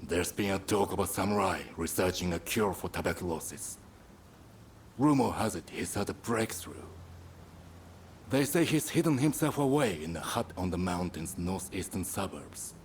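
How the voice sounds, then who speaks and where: A very low voiced grave doctor in a game, blunt, faint rumbly noise behind. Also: male voice, lively intonation, moderate pace. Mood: sober, cautioning. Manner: matter-of-fact, grave. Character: grave, sober male physician, blunt realist. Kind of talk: dramatic video game acting.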